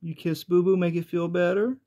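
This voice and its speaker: A parent chatting casually with a small child in a bedroom, gently coaxing with simple questions. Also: medium pitch, little pitch movement, brisk. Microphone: handheld phone behind the camera.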